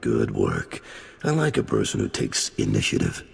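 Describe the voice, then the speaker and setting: An actor playing a gruff older colonel in a video game cut-scene, with a raspy, gravelly voice and blunt, drawling orders. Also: male voice, rumbling low, huge pitch swings, moderate pace, full character voice. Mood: gruff praise. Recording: long hall reverb.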